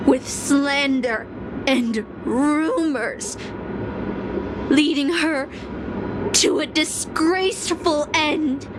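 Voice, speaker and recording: A woman playing a proud, bitter fallen singing film star in a video game, with slow theatrical delivery. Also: sits high, background noise. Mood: wistful, bitter. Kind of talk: dramatic video game voice acting.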